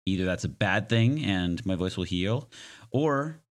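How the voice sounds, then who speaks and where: A man hosting a podcast solo in a casual, reflective way, with a slightly hoarse, raspy, smoky voice and a self-deprecating, joking manner. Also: sits low, lively intonation, a little slow. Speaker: podcast host.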